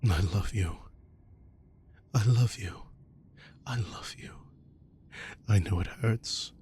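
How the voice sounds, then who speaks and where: A man voicing a tender male vampire who speaks in a hushed, pleading way. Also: fairly low pitch, slow, a little hiss or hum.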